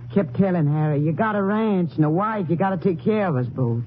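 An actress playing a hard-bitten, resentful rancher's wife in a 1950s radio western, curt and complaining, with rough rural grammar. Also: female voice, on the low side, animated, fairly quick, natural voice. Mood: bitter, scornful. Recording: tinny, noisy 1950s radio recording.

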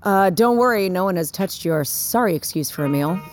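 A woman's voice: on the low side, expressive, moderate pace, faint music behind, close to an everyday voice. An actress playing a blunt, wry but caring woman in an audio drama, talking in a naturalistic, teasing way.

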